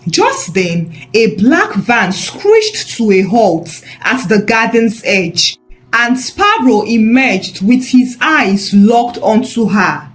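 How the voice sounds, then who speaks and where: A woman narrating a scripted story in a measured, expressive, dramatic way. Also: fairly high pitch, faint music behind, poor audio.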